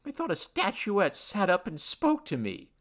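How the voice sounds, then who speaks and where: Man voicing a nervous storybook academic, young male, high, tense, shaky, babbling. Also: wildly swooping pitch, normal speed, a bit bright, full character voice. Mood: panicked.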